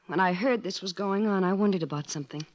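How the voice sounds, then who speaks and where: A woman's voice: mid-range voice, fast talker, natural voice, poor audio. A woman playing an earnest, virtuous young missionary in an old-time radio drama, intense and solemn. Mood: earnest challenge.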